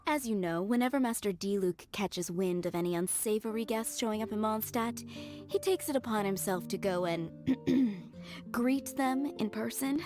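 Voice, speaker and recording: Game role: actress as gentle, deferential maid of a wine estate, mid-range female voice, polite and composed. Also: mid-range voice, normal speed, close to an everyday voice. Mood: courteous, informative. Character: courteous, devoted female winery maid, gentle and poised. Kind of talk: video game voice acting. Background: music.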